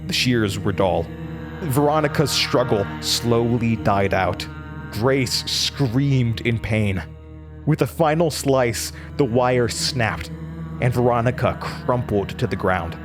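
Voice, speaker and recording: An actor playing a haunted young male college student with an American accent, narrating horror in a deliberate, intense, dramatic way. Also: medium pitch, over music, close to an everyday voice. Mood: grim, urgent. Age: young adult.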